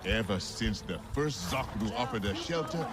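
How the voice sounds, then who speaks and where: Man acting a hearty low-voiced alien clansman, swooping intonation. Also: lively intonation, normal speed, close to an everyday voice. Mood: jovial, proud. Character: jovial, hearty alien clansman welcoming a stranger. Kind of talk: video game cutscene acting. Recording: music behind the voice.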